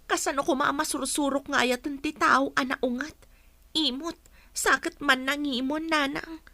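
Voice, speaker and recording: A woman's voice: high-pitched, steady tone, unhurried, bright, forceful tone, no room sound, exaggerated voice. A Filipino woman playing a distressed young wife and mother in a radio drama, speaking in a plaintive, pleading way. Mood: downcast. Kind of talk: Philippine radio drama acting.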